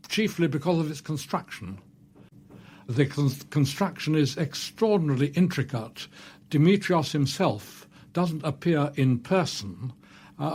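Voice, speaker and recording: A man's voice: a little high, a little mellow, a little hiss or hum. A man giving literary commentary as a critic, emphatic and repeating phrases for weight. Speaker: critic interviewed for a documentary.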